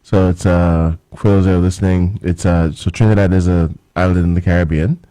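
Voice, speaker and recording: A man's voice: low-pitched, steady tone, brisk, dark tone, clean tone, no room sound. A man co-hosting a casual radio chat, easygoing and joking. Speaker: radio co-host.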